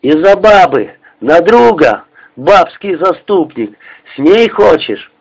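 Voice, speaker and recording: A man voicing a frenzied young soldier, ranting hoarsely in short, furious bursts in a war story. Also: sits high, very animated, slow, pitched above the performer's own voice, full character voice, poor audio. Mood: furious defiance. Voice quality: hoarse shouting. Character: frenzied young male soldier, raging and accusing.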